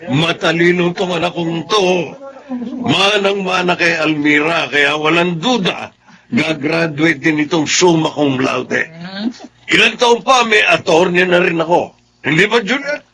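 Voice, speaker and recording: A man's voice: fairly high pitch, measured pace, a crowd in the background. A man playing a proud, insistent middle-aged Filipino father in a radio-style drama, with a Filipino accent. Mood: proud, merry.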